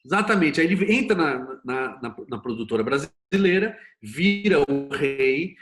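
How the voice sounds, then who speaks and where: A Brazilian man with a slightly high voice and very lively intonation discusses his field casually. He speaks emphatically and in colloquial phrasing. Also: measured pace, soft background music. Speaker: interview guest, producer and screenwriter.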